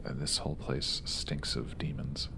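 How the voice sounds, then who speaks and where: A man's voice: very deep voice, flat delivery, unhurried, creaky, in a whisper. A man playing a tabletop role-playing game, talking casually and partly in character.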